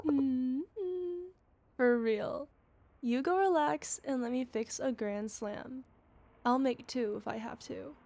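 Slow, tender devoted girlfriend voiced by a woman. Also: high voice, a little mellow. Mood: playful, teasing. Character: devoted, playful, smitten older girlfriend soothing her lover. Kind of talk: ASMR roleplay acting.

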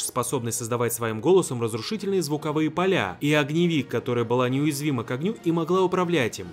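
A man reading a scripted explainer narration as the narrator of a video. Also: on the high side. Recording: music playing behind the voice. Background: background music.

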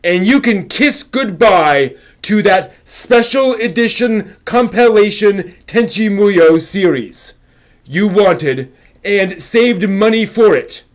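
A middle-aged man giving a theatrical dramatic reading, with impassioned, overwrought emphasis and drawn-out words. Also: sits high, animated. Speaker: host reading an old forum post aloud. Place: small home room with shelves, indoors.

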